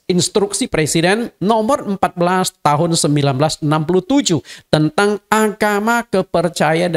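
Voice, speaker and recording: A middle-aged man from Indonesia, a native Hakka speaker, giving a conversational sermon as a pastor and speaking directly to his congregation. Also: high voice. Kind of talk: sermon teaching.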